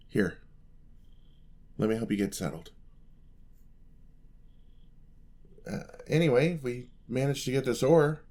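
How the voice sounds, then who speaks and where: A man's voice: medium pitch, creaky, heavily acted. A man playing a sweet, eager young paladin apprentice in a fantasy ASMR roleplay, speaking politely and earnestly to the listener. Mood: caring, grateful. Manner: polite, earnest, gently encouraging.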